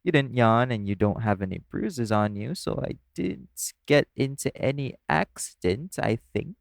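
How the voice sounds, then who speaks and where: A man's voice: mid-range voice, expressive, a little slow, vocal fry, no room sound, clean studio sound. A man playing an easygoing, teasing club performer who chats casually with a coworker in an intimate audio roleplay. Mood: playful teasing.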